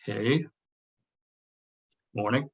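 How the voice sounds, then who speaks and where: A man plays a casual buddy with something to hide. His voice is husky and sits at an average pitch. The delivery is that of a table read. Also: very slow, dull audio, heavily acted. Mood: evasive. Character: casual, evasive male buddy with a dark streak.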